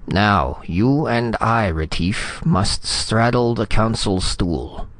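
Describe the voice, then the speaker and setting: A man's voice: on the low side, slow, dry recording, pitched above the performer's own voice. A man playing a boisterous, lecherous alien king in a storybook reading, with grandly formal, jovial speech. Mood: grand, imperious.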